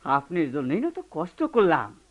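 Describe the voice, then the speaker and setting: A woman's voice: low-pitched, wildly swooping pitch, normal speed, full character voice. Chatty servant boy, thin phone-like sound. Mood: eager. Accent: rural Bangladeshi. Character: simple, devoted young servant boy, chatty and grumbling. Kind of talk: Bengali radio play acting. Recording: thin, phone-like old radio recording.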